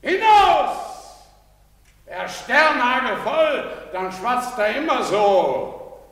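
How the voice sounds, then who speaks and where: An actor playing a gruff, money-minded village innkeeper in a theatrical stage style. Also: male voice, very high-pitched, big pitch swings, very slow, a bit bright, exaggerated voice. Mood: exasperated, scolding. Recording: noisy, echoey theatre hall.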